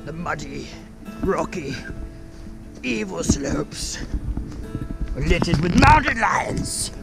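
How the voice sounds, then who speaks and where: A man putting on a slow, dramatic storyteller voice to joke about perilous slopes on a hike. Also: on the high side, very animated, gravelly, over loud music, pitched above the performer's own voice, full character voice. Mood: ominous. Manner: drawn-out, dramatic.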